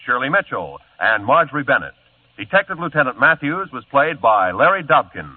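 Lively old-time male radio announcer. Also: mid-range voice, no echo. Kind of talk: formal broadcast announcement. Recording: tinny old radio broadcast.

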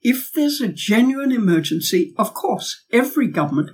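Husky-voiced woman making a pointed case. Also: fairly high pitch, very animated, moderate pace, darkish tone. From Australia. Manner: emphatic, pointed, with rhetorical questions. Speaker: guest, former diplomat and academic. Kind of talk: podcast interview, arguing a case.

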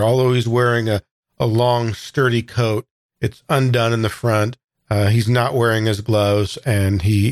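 A man playing a tabletop game, improvising a thoughtful, halting description of his character. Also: lowish voice, no room sound. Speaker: guest player in a tabletop game.

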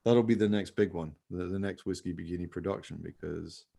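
Low creaky guy rambling casually on a podcast, kinda jokey. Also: fairly even intonation, brisk, warm tone. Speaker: podcast host and radio play maker. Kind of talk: casual chat.

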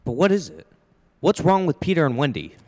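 A man's voice: a little high, huge pitch swings, fairly quick, a little mellow. A man playing a stern, exasperated father of two in an old-time radio drama, speaking emphatically. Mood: troubled, insistent.

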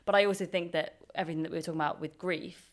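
A woman's voice: lowish voice, fairly even intonation, rapid-fire. A woman in her twenties, an actor chatting casually as co-host, reflective and thoughtful. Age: 20s. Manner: reflective, frequent soft "mm-hmm" backchannels. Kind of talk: casual chat.

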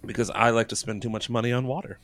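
A man's voice: medium pitch, steady tone, fast talker, fairly bright tone. A man on a podcast panel joking in a dry, playful way. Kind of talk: casual podcast chat.

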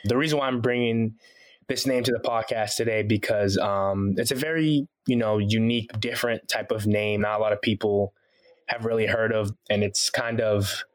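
A man's voice: fairly low pitch, steady tone, moderate pace. A young man from Haiti who grew up in Boston, with an American accent, chatting casually as a podcast guest, laughing often and using lots of fillers. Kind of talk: casual interview chat.

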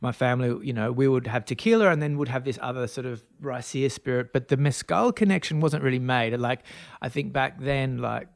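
A man's voice: mid-range voice, fairly quick, gravelly, hardly any pauses, no echo. A man in his thirties with an Australian accent, a brand founder telling his story as a guest, full of fillers and restarts. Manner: rambling, many fillers and restarts. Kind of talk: casual interview talk.

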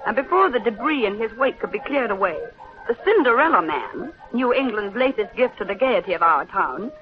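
A woman's voice: fairly high pitch, lively intonation, a little fast, close to an everyday voice. Actress as wry newspaperwoman, dull vintage radio. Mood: wry, brisk. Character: shrewd society-girl newspaperwoman with a wry wit. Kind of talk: 1930s radio acting. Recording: dull 1930s radio broadcast, music behind.

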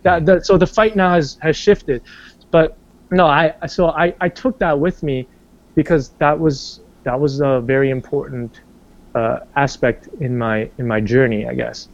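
A man's voice: steady tone, a little slow. A man, an actor being interviewed, talking casually in a rambling way with lots of fillers and restarts. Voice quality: bass singing voice. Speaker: stage and screen actor, interview guest. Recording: dull lo-fi sound.

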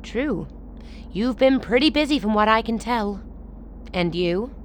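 A woman's voice: on the high side, expressive, measured pace, slight background noise. A woman playing a powerful political leader in a radio play, wryly amused, probing and self-assured. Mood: wry, teasing.

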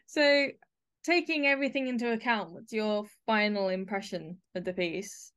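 A woman's voice: medium pitch, moderate pace, fairly bright tone. A woman speaking as the host of an arts podcast, interviewing and presenting.

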